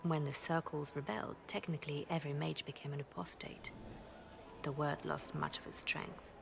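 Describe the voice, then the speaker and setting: An actress playing a shrewd, discreet female spymaster in a fantasy game, measured and conspiratorial. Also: low-pitched, fairly even intonation, gravelly, background noise. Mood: discreet, serious.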